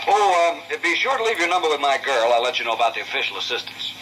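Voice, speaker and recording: An actor playing an aloof, politely dismissive official in a 1950s radio drama, with a measured, hesitant and condescending manner. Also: male voice, mid-range voice, big pitch swings, sharp tone. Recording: noisy old 1950s radio recording.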